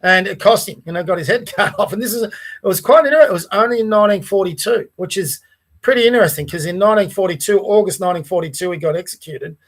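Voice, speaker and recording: A man with an Australian accent and a fairly high voice talks through his views in a casual podcast chat. He speaks earnestly, restarting phrases and checking in with the listener as he goes. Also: fast. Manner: earnest, restarts and asides like "right?". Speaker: podcast host. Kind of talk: casual political discussion.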